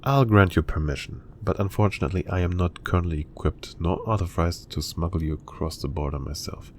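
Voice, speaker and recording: Man playing an intelligence handler, very deep voice, crisp, official, businesslike, faint hiss. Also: brisk, a little mellow. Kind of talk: cinematic audio roleplay acting.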